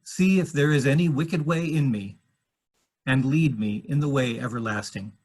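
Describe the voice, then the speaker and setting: A man reads scripture aloud for a church service. His voice sits at an ordinary middle pitch for a man. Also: normal speed, darkish tone. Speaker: scripture reader. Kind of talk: scripture reading.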